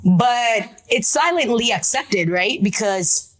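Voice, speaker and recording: A woman hosting a talk show discussion, chatty and direct, with quick asides to her audience. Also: a little high, animated.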